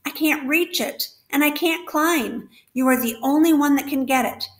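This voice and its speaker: A woman voicing a frantic young male pig who pleads loudly, heard with a roomy echo. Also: high voice, slow pace, pitched above the performer's own voice. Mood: desperate. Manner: desperate, loud pleading.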